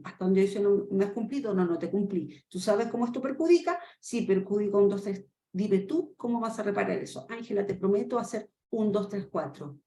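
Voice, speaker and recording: A Chilean woman in her fifties giving a webinar lesson in a quick, animated way, acting out short dialogues and asking rhetorical questions. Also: on the high side. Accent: Chilean Spanish. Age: 50s. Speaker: trainer. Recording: echoey room with faint noise.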